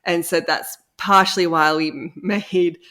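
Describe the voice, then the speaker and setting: A woman's voice: on the low side, fairly even intonation, unhurried, a bit bright. A woman chatting casually as a guest in an interview. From Australia. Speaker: podcast guest, audio drama co-creator. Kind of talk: casual chat.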